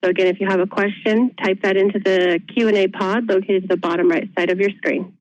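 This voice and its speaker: A woman moderates a webinar Q&A and gives clear instructions at a medium pitch. The sound is dry and muffled, like a conference call. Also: fairly even intonation, quick pace, darkish tone, no room sound.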